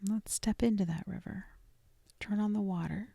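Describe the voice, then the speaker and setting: A woman leading a guided meditation in a soft, gentle, deliberate voice that often trails toward a whisper, with frequent pauses. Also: mid-range voice, vocal fry, husky, dry recording. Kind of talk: guided meditation, scripted and soothing.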